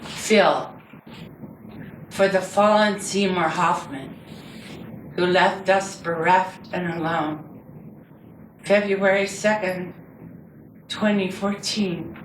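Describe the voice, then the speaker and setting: A woman reading her own poetry aloud live, with measured, deliberate and dramatic phrasing. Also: fairly low pitch. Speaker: poet reading own work. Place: indoor reading before a group. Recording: roomy, distant mic with background noise.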